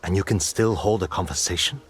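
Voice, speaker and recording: An actor playing a wary, hard-bitten island raider leader in a video game, with a rough, husky voice and a blunt, challenging manner. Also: male voice, sits low, brisk, slight background noise, natural voice. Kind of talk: dramatic video game cutscene acting.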